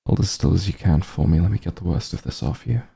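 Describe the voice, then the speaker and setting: A man playing a calm, caring back-room doctor who gently scolds an old friend in a softly spoken ASMR roleplay, soothing with dry bluntness. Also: exaggerated voice. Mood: focused, gentle. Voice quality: low, gravelly, husky. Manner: soothing, unhurried bedside reassurance with dry bluntness.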